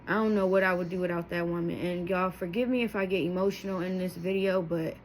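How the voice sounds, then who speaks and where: A woman's voice: lowish voice, steady tone, fast talker, slight background noise, low-quality recording. A woman of thirty telling her life story to her viewers, candid and emotional, rambling with asides as she goes. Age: 30s. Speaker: vlogger. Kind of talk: personal testimony to camera.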